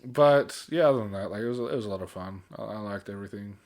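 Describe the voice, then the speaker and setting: A man with a fairly low voice, talking like a podcast co-host among friends. His speech is informal and slangy, with the odd mild swear word. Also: fast. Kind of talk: casual pop culture podcast chat.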